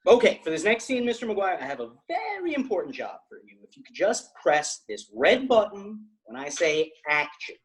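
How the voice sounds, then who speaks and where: A man's voice: high voice, very animated, moderate pace, few pauses. A man playing an earnest, bossy teenage amateur film director in a sitcom table read over video call.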